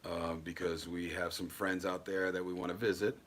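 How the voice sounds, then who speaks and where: A man's voice: deep voice, level tone, moderate pace, a crowd in the background. A man hosting a casual livestream chat, rambling with lots of ums and restarts. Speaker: paranormal channel host.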